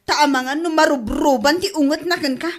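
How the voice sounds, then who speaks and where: A woman's voice: sits high, brisk, lightly acted. A woman acting an exasperated, scolding wife in an Ilocano comedy radio drama, with a Filipino Ilocano accent. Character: exasperated, nagging wife scolding her drinking husband.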